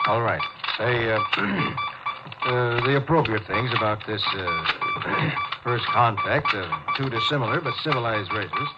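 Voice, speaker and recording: An actor playing a tense, brooding male starship captain in 1950s radio drama, halting and musing, then barking orders. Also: mid-range voice, huge pitch swings, lo-fi sound, over loud music. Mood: tense, hesitant. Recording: noisy, band-limited 1950s radio recording.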